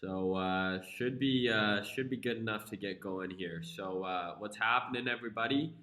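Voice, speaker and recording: A man's voice: on the low side, moderate pace, pushed and bright, reverberant. A young man with a flu-congested voice hosting a casual live stream, laid-back and profane as he talks through charts.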